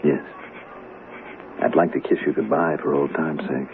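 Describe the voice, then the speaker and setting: An actor playing a smooth, vain politician who is privately snobbish and anxious, in a radio drama. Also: male voice, rumbling low, fairly even intonation, moderate pace. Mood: ashamed, uneasy. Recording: narrowband, noisy old radio recording.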